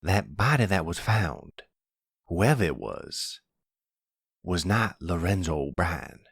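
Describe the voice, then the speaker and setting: A man playing a protective, calm older mafia grandfather in an ASMR roleplay, speaking gently in a folksy way. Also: sits low, takes it slowly, creaky, husky, no echo, studio quality, heavily acted. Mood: concerned, steady. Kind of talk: ASMR boyfriend roleplay acting.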